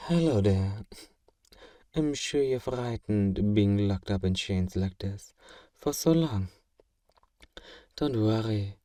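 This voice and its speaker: Guy doing a kind rich vampire owner, low mellow voice, soothing and polite, asmr roleplay, very close dry sound. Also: expressive, slow pace, long pauses, no echo. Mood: gentle, comforting. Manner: gentle, courteous, old-fashioned, reassuring. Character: kind, wealthy, genteel male vampire owner, soothing and possessive. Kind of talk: ASMR roleplay, speaking to the listener.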